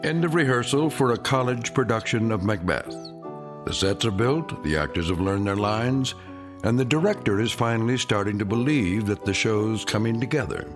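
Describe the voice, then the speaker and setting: A man tells a radio drama in a low, measured voice, letting his pitch rise and fall widely. His delivery is slow and ominous. Music plays behind him. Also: lively intonation. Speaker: narrator.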